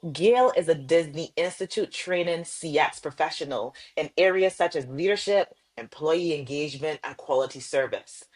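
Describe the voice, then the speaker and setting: A woman hosting a podcast, reading an emphatic scripted intro and then chatting warmly with affirming interjections. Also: low-pitched, husky, poor audio. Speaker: podcast host.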